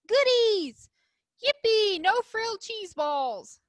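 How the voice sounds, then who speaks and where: A woman voicing excitable little mouse schoolchildren from a storybook, with eager, drawn-out exclamations. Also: very high voice, dry recording, pitched above the performer's own voice, exaggerated voice. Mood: delighted cheering. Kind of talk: storybook read-aloud.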